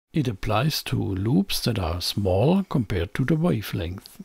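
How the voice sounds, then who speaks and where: A man's voice: medium pitch, gravelly, darkish tone, breathy edge, no room sound. A man presenting a scripted technical explainer in a didactic, emphatic way, as a close voice-over. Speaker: presenter of an electronics channel.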